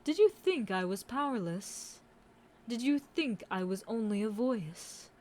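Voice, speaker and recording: A woman voicing a commanding, scheming demon queen in a scripted audio roleplay, haughty and taunting, with deliberate, theatrical villain delivery. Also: a little high, animated, darkish tone. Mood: gloating, menacing.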